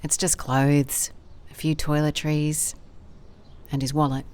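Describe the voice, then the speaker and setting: A woman's voice: sits low, level tone, slow pace, darkish tone. A woman playing a wry, observant private investigator in an audio drama, with a dry, conversational manner. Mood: dry, musing. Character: wry, observant female private investigator narrating her case. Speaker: lead actor in an audio drama. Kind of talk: audio drama case notes and dialogue.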